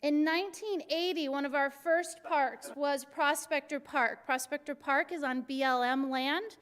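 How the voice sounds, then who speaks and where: A middle-aged woman from Arizona, a city recreation superintendent with a very high-pitched voice, giving an energetic, joking presentation to a class. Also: unhurried. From Arizona, USA. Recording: long hall reverb.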